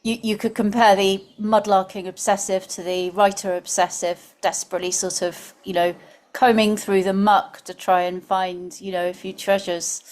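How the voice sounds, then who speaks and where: A woman's voice: fairly low pitch, a little mellow. A woman speaking as a podcast host and interviewer in a conversational style.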